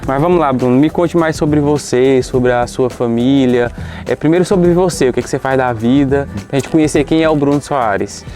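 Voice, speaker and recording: A man's voice: medium pitch, moderate pace, a little mellow, faint music behind. A man with a Brazilian accent hosting an interview, asking questions in a friendly, informal way. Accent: Brazilian Portuguese. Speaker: interviewer, channel host. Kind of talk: casual interview.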